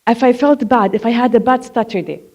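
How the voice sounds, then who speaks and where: A woman's voice: on the high side, fairly quick, a little mellow. A young woman who stutters, telling her own story candidly from a stage. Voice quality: stutters, repeats sounds and words. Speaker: speaker sharing her story. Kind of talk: personal talk on stage. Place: on a stage before an audience.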